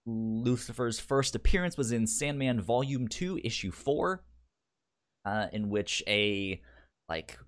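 Male podcast co-host, medium pitch with lively swings, casual, halting delivery. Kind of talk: casual conversational TV review.

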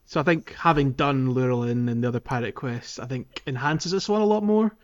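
A man's voice: mid-range voice, a little fast, muffled recording. A man co-hosting a podcast, casually talking through a video game in a loose, hedging, informal way. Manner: hedging, informal explaining with kind of and like. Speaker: podcast co-host.

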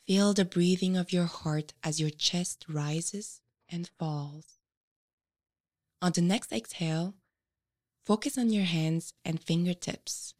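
A woman with a fairly low voice narrates a guided meditation. She speaks very slowly, in short measured instructions, leaving frequent pauses between phrases. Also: darkish tone.

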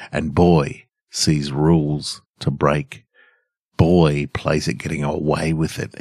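A middle-aged man hosting a podcast and reading stories in a measured way. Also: very deep voice, a little mellow, no room sound, studio quality. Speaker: podcast host and story reader. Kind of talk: scripted story narration and casual podcast outro.

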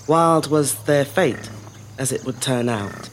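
An actress playing a reflective middle-aged woman born in Zimbabwe, telling her family's story in a measured, lyrical scripted monologue. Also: extremely low pitch, a little hiss or hum. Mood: tender, reflective. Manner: measured, lyrical, deliberate phrasing. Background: music.

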